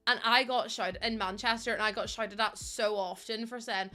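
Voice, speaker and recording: A woman's voice: fairly high pitch, fairly even intonation, normal speed, bright, forceful tone. A young woman with a Northern Irish accent chatting on a live stream, giggly and chatty, using local slang. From Northern Ireland. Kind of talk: casual chat with live chat. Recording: faint music behind.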